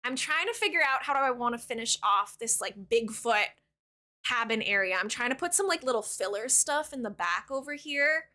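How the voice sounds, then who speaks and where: A woman in her thirties with a very high, bright, ringing voice talks casually to her live-stream chat. She rambles and fills her sentences with "like", and she warmly thanks new followers. Her tone is open and conversational. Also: expressive, normal speed. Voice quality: high-pitched voice. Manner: chatty, rambling, many "like" fillers. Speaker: Twitch streamer.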